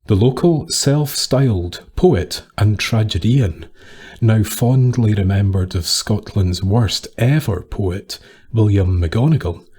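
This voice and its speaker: A Scottish man reading a scripted dark tale as a storyteller, with solemn, deliberate phrasing. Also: lowish voice, slightly rough.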